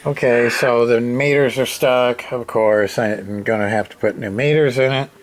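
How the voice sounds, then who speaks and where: A man's voice: mid-range voice, fairly even intonation, normal speed. A man giving a casual, hands-on repair narration, rambling and muttering to himself in a folksy, plain-spoken way. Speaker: CB radio repair hobbyist. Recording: noisy, with clicks and radio sound.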